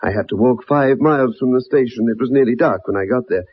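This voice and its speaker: On a muffled vintage radio recording, a man acts as a former soldier. His voice is mid-pitched and a little rough, earnest and polite yet insistent, like a loyal friend who is troubled. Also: fast talker, close to an everyday voice. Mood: troubled, recounting. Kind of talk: 1960s radio drama acting, first-person narration.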